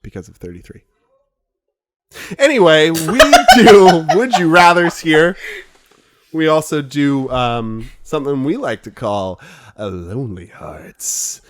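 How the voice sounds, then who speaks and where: A man's voice: on the high side, expressive, takes it slowly, a bit bright. A young man co-hosting a comedy podcast, joking in a playful, mock-grand way. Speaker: podcast co-host. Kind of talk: jokey banter.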